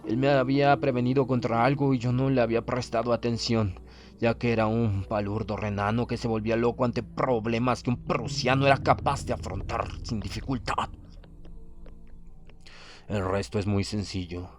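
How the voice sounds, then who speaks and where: Un hombre que lee un audiolibro de terror como un orgulloso oficial naval prusiano condenado, con tono grave y pausado. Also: male voice, medium pitch, fairly even intonation, faint music behind, natural voice. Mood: grave dread. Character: proud, doomed Prussian naval officer writing his last account. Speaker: audiobook reader. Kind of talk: dramatic horror audiobook narration.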